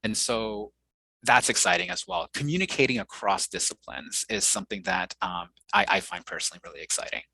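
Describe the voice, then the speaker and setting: Thoughtful, measured man whose first language is Mandarin. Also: on the low side, sing-song, gravelly, sharp tone, breathy edge, no echo, rough recording. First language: Mandarin Chinese. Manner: thoughtful, measured, with frequent pauses and fillers. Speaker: guest, audiologist and researcher. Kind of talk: conversational podcast interview.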